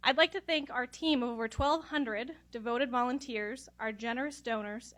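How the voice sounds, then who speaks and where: Woman with a slightly high voice reading out thanks at a ceremony, pretty formal. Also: a bit bright. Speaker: regional manager of a puppy program. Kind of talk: prepared ceremony speech. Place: ceremony room.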